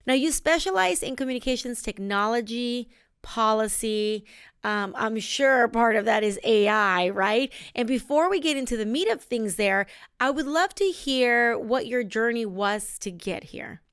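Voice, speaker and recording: A woman co-host with a slightly high, smooth voice, recorded dry in a studio. She asks her guest questions in a friendly, conversational way. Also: animated, a little slow, fairly bright tone, dry recording. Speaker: podcast co-host. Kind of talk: podcast interview.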